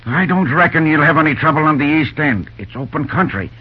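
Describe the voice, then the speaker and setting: A man's voice: on the high side, lively intonation, a little fast, husky. Slangy railroad man, dull old radio. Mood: keen. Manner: slangy, rustic grammar. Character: eager, folksy, bossy railroad engine man. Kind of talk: old-time radio drama acting. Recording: dull old radio recording, faint noise.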